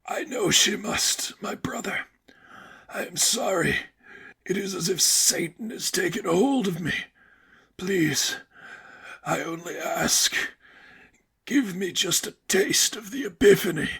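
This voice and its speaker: High, breathy, feverishly devout young man. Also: pushed and bright, full character voice. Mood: meek, pleading. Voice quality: breathy, strained whisper. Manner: halting, drawn-out, supplicating.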